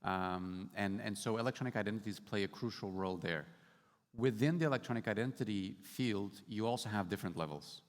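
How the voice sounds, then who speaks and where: Low-voiced man from Portugal on a panel. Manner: casual, explanatory, light humor. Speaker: startup panelist. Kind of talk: conference panel discussion. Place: large conference hall stage. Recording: hall reverb.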